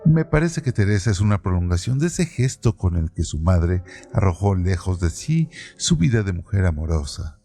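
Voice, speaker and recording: A man narrating a novel aloud in a calm, deliberate scripted reading. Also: low voice, breathy edge, faint music behind.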